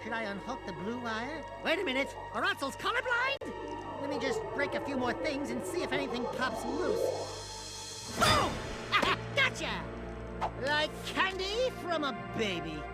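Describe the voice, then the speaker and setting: A man's voice: very high voice, sing-song, full character voice. A man voicing a small, cocky yet cowardly animal sidekick in a cartoonish video game, brash and comic. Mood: cocky muttering. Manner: brash, fast-reacting, comic. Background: loud game music.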